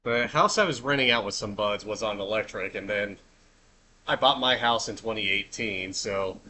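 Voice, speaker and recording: Man, home kitchen, easygoing stream chat, cooking, tense bright voice. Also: lowish voice, normal speed, a little hiss or hum, poor audio. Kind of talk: casual chat while cooking.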